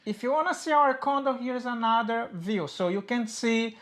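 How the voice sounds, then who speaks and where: A woman's voice: on the high side, steady tone, normal speed. A woman from Brazil with a Brazilian Portuguese accent, a native Portuguese speaker co-hosting a personal finance video in casual, upbeat chat with small grammar slips. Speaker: co-host of a personal finance channel. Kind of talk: casual explanatory chat to camera.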